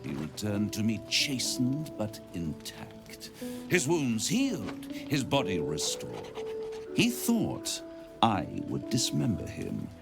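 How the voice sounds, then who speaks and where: An actor playing a suave, gloating devil in a video game, British-accented and theatrical, savouring each phrase. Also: male voice, fairly low pitch, wildly swooping pitch, slow, vocal fry, music in the background, full character voice. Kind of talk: video game villain dialogue.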